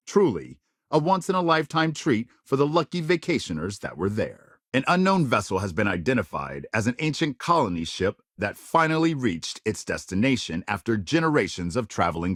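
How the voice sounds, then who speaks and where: An actor playing a polished, dramatic male news anchor in a sci-fi game, with crisp, measured, emphatic broadcast phrasing. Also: medium pitch, no room sound, studio quality. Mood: promotional, lively. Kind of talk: scripted broadcast news delivery.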